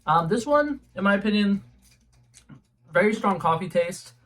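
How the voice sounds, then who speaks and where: A young man with a fairly high voice chats his way through a taste test from his room at home. He rambles, restarts his sentences and fills the gaps with ums, in a loose and casual vlog manner. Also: animated, normal speed, a bit bright. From New England. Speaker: YouTube host. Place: home room with a color-changing globe light.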